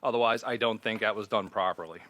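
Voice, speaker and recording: A man's voice: fairly low pitch, quick pace, pushed and bright. A man speaking as a board member in a government meeting, insistent and argumentative. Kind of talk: formal meeting remarks, arguing a point.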